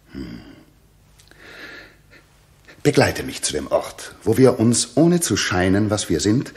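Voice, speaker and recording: An actor playing a commanding, troubled middle-aged king in a 1960s radio drama, stately and deliberate. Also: deep voice, heavily acted.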